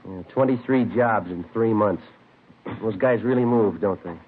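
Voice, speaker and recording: An actor playing a terse, no-nonsense police detective sergeant in understated, deadpan 1950s radio drama style on an old, noisy recording. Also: male voice, lowish voice, moderate pace, narrow, tinny audio, natural voice. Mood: grim. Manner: deadpan, clipped.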